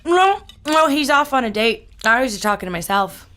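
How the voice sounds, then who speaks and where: A woman's voice: a little high, big pitch swings, moderate pace, a bit bright. An actress playing an easygoing, kindly single woman in an audio drama. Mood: apologetic.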